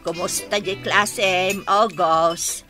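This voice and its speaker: A woman playing a nosy, sharp-tongued middle-aged village mother in a radio drama, prying and exclamatory. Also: on the low side, steady tone, extremely slow, loud music behind the voice. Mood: chatty, prying. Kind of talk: radio drama acting in rural family comedy.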